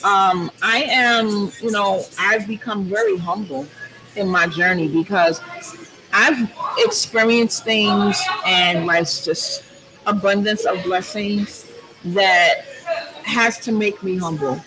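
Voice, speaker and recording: A woman guest with a loud, strong voice, talking earnestly and thoughtfully with frequent ums in a huge, loud space. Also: mid-range voice, slow, noisy background. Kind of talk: reflective personal conversation.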